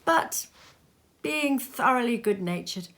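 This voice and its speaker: A woman storyteller reading classic literary narration aloud in an expressive way. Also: a little high, slow pace.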